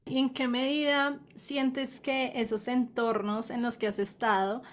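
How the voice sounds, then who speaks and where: A woman interviewing a guest, keeping her questions short and adding quick, friendly prompts between the answers. Her voice sits a little higher than most. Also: level tone.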